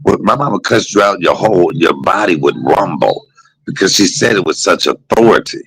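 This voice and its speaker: Male host, low and husky, animated delivery, playful teasing. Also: normal speed, a little hiss or hum, poor audio. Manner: joking, teasing, bursts of laughter. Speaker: podcast host. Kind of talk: casual chat. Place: hospital room.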